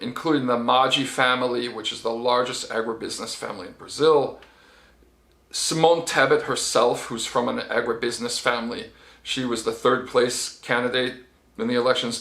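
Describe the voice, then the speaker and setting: A man's voice: medium pitch, fairly bright tone. A middle-aged man, a journalist giving a casual spoken report, talking conversationally with many fillers and restarts. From United States. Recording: echoey room.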